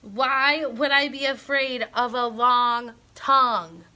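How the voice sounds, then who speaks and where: Woman as a high-voiced, picky little boy. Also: takes it slowly, sharp tone, heavily acted. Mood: unimpressed. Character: picky, nervous but bold little boy.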